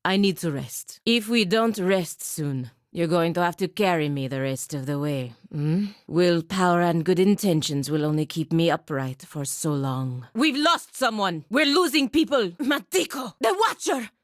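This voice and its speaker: A woman's voice: on the low side, animated, measured pace, few pauses, dry recording. A woman voicing a proud, disciplined birdlike paladin in a video game, with a dry, clipped, commanding manner and sardonic edge. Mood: weary, then anguished shouting. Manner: dry, clipped, commanding, with foreign words mixed in. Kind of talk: video game voice acting, dialogue and battle barks.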